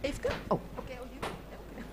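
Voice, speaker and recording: A woman's voice: high voice, very animated, background noise. A woman moderating a panel, giving the floor and urging speakers to keep things short. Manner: brisk, keeping time.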